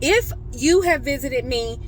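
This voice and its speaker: An American woman telling a story casually to her viewers, animated and emphatic, often checking in with "okay?". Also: sits high, unhurried, slight background noise. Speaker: vlogger.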